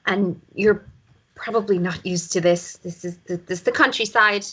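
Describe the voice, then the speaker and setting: A woman's voice: mid-range voice, steady tone, moderate pace, bright, forceful tone, husky. A woman playing a harried, irritable but reluctantly hospitable countryside mother in a drama table read. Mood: reluctant, annoyed.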